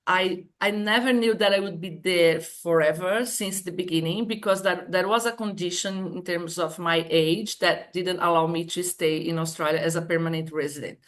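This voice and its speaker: A woman's voice: on the low side, steady tone, normal speed, few pauses. Brazilian woman in her fifties. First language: Portuguese. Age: 50s. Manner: hesitant, explains step by step. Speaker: guest. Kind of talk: casual online interview.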